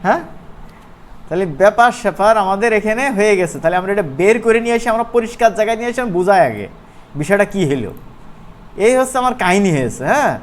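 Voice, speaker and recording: A man gives a casual, chatty software tutorial in an informal, colloquial way, often checking in with his listeners. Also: high-pitched. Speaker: animation course instructor. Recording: clear background noise.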